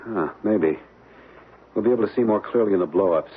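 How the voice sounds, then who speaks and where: Nervous young american husband, really husky, stammers a bit, old radio play with thin muffled sound. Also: male voice, fairly low pitch, fairly quick. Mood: worried, reluctant. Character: uneasy young American husband caught up in intrigue. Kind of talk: old-time radio drama acting. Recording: muffled, band-limited old radio broadcast.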